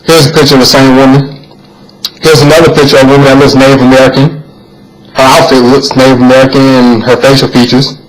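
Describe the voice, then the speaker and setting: A man's voice: mid-range voice, little pitch movement, fast, low-quality recording. A man narrating a video, reading written text aloud in a plain, earnest way and sometimes stumbling and repeating words.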